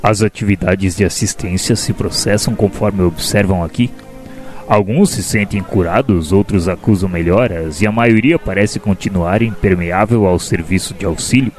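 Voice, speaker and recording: An actor as a wise male spirit mentor with a low, deep voice. He teaches gently and solemnly in measured phrases, like a religious radio play, with faint music underneath. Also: natural voice. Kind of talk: religious radio drama acting.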